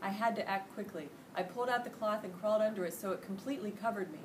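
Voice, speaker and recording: A middle-aged American woman reading a children's storybook aloud with expressive, emphatic delivery. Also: mid-range voice, fast talker. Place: indoor school classroom. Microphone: distant camera microphone. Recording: distant camera mic, noisy room.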